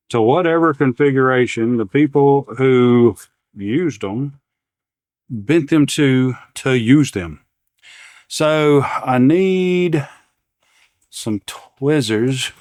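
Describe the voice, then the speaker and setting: A man's voice: mid-range voice, slow, long pauses, darkish tone, no room sound. A man hosting a repair demonstration, talking casually and unscripted, partly to himself as he works at a bench. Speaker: repair channel host. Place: indoors at an electronics workbench.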